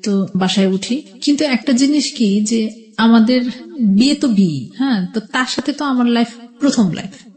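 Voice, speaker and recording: A woman's voice: on the high side, lively intonation, moderate pace, darkish tone. A middle-aged woman with a Bangladeshi accent telling her personal story as a radio interview guest, conversationally, often quoting what people said. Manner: conversational, quotes past exchanges as she narrates.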